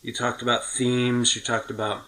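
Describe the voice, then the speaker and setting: A man's voice: medium pitch, level tone, moderate pace, pushed and bright, low-quality recording. A middle-aged man with an American accent, hosting a podcast in casual, reminiscing chat. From United States. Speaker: podcast host.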